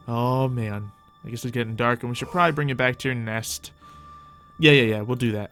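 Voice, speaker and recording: A man's voice: medium pitch, a bit bright. A man giving casual, improvised roleplay game commentary, chatting and thinking aloud as host of a gaming video.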